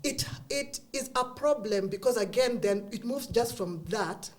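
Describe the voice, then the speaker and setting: A woman's voice: a little high, animated, unhurried. A middle-aged Kenyan woman with a Kikuyu first language, talking candidly as a guest, laughing often and restarting her sentences. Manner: earnest, frequent laughs and restarts. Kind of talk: candid conversational chat.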